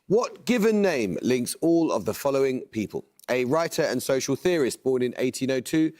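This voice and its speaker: A man hosting a quiz show in a TV studio, reading questions in a measured, precise way. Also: mid-range voice, expressive, darkish tone.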